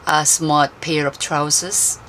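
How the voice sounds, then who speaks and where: A woman reading a children's story aloud in an expressive, deliberate and animated way. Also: sits low, low-quality recording. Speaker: storybook reader. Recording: faint background noise.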